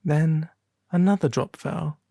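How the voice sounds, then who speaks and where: A man voicing a refined owl demon prince, reading a fairy tale as a soft, theatrical ASMR storybook roleplay. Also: fairly high pitch, no echo, clean studio sound. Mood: gentle, wistful. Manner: refined, theatrical, unhurried, breathy asides.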